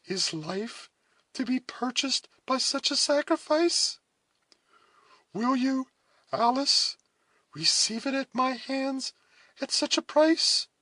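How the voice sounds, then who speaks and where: A man voicing a noble young woman, a solemn elder sister in a storybook, speaking with deliberate, heavy emotion. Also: lively intonation, dry recording, exaggerated voice. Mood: desperate pleading. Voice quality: high, forceful.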